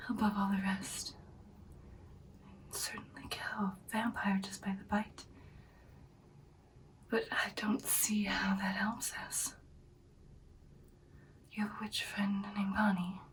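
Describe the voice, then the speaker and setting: Female performer as hesitant, devoted vampire girlfriend, ASMR roleplay; breathy whisper, very even pitch, faint background noise. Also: recorded from a distance, natural voice, rough recording. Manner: soft, halting, deliberate, intimate.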